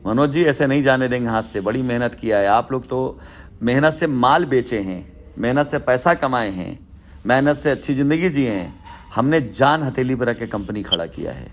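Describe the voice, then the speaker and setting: A man speaks at a middling pitch on a recorded phone call. He sounds like a boss explaining business, repeating himself and stacking up lists and analogies to make each point. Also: lively intonation, normal speed. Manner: rhetorical, repetitive, talking in lists and analogies. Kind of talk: informal business phone conversation.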